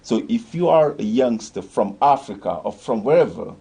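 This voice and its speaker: A man's voice: mid-range voice, animated, normal speed, gravelly, mellow, husky. An older man from Senegal, a community organization leader, talking earnestly in a discussion show and rallying listeners with repeated phrases.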